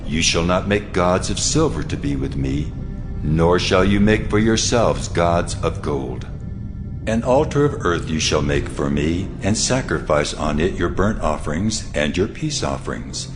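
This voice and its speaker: A man voicing a stern, almighty God in a dramatized scripture reading, solemn and authoritative in measured declarations. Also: sits low, lively intonation, music in the background. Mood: solemn, commanding. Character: God, stern commanding almighty male deity.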